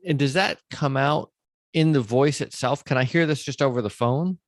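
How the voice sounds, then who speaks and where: A man's voice: mid-range voice, moderate pace, no echo, studio quality. A middle-aged man hosting a podcast interview in a conversational way, recapping points and checking he has understood.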